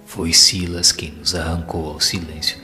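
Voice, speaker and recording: A Brazilian man playing a solemn spirit narrator who recounts his journey with compassion in measured, literary audio-drama narration. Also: deep voice, little pitch movement. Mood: solemn, moved. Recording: long hall reverb, music underneath. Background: clear music.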